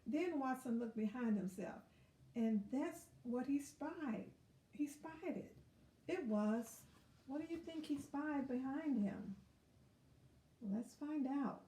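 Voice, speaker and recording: A woman reading a picture book aloud to children, expressive and pausing to put questions to them. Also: sits high, recorded from a distance. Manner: expressive, asks questions of young listeners. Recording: roomy echo, away from mic.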